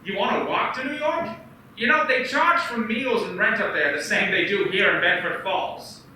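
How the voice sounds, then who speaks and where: A kind, earnest small-town banker, played by a man with a high, very bright and sharp voice. He sounds distant in an echoey room with faint background noise. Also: moderate pace. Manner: teasing and friendly, then frantic. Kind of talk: amateur radio play acting.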